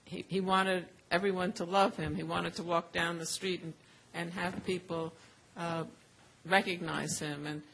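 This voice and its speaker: A woman's voice: fairly low pitch, steady tone, a little slow, gravelly, few pauses, fairly bright tone, a little hiss or hum, poor audio. A woman on a discussion panel, speaking reflectively and personally with some fillers.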